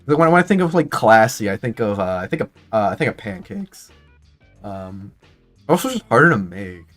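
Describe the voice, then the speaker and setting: A man in his twenties streaming casual commentary, rambling and excitable with many restarts and fillers. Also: lowish voice, normal speed, soft background music. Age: 20s.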